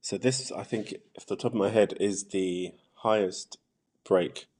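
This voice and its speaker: A man's voice: fairly low pitch, steady tone, unhurried, a little mellow, slight background noise. A man hosting a podcast, putting casual, conversational questions to a guest. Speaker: podcast host, interviewer.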